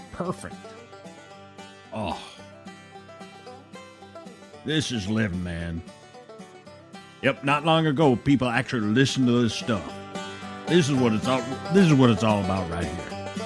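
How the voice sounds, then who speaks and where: A man's voice: medium pitch, very animated, a little slow, pauses often, over music. A man playing a laid-back, sarcastic radio disc jockey of the future, savoring his words in loose patter. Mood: blissful. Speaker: radio host.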